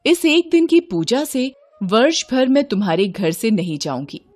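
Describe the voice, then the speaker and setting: Gracious goddess played by a woman reader, gentle formal instruction, animated pitch, devotional storybook, music behind. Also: moderate pace, no room sound. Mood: kindly, instructing.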